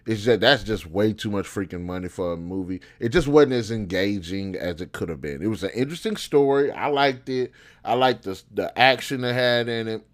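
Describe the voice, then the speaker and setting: A man hosting a show, giving casual, opinionated commentary in slangy, informal speech as he thinks aloud. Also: fairly low pitch. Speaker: host of a show on video game movies.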